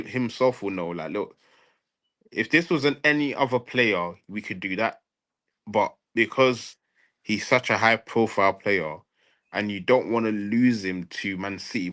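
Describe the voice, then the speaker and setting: Deep-voiced man debating football. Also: fairly bright tone. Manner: emphatic, repeats phrases for stress. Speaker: football fan show panelist. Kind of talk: casual football transfer debate.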